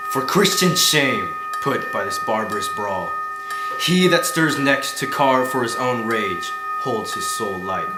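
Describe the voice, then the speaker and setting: An actor playing a stern, dignified general, declaiming with authority in classical stage style. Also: male voice, medium pitch, natural voice. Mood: outraged command. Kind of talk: classical Shakespearean stage acting. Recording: echoey hall, faint noise.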